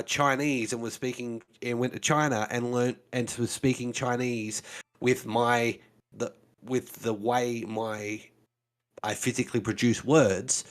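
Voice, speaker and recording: A man with an Australian accent, co-hosting a casual podcast chat and explaining his points with lots of "you know"s. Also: mid-range voice. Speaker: podcast co-host.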